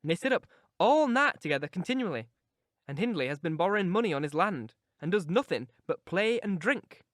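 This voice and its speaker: A man's voice: sits high, sing-song, a little fast, fairly bright tone, no room sound, studio quality. A man voicing an earnest, sensible housekeeper. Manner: earnest, cautioning. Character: sensible middle-aged housekeeper, warning.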